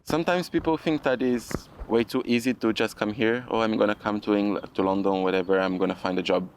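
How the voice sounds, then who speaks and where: A young Brazilian man talking casually in accented English, his voice low and gravelly. He speaks in a loose, easygoing way, often tossing in "you know". Faint hiss sits under the recording. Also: a little fast. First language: Portuguese. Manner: relaxed, frequent "you know" asides. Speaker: guest. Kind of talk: casual podcast conversation.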